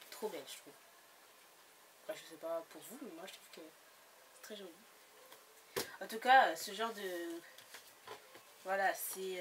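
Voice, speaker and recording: A woman chatting casually about her latest book haul, giggly and full of fillers and restarts. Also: lowish voice. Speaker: book blogger. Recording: noisy home recording.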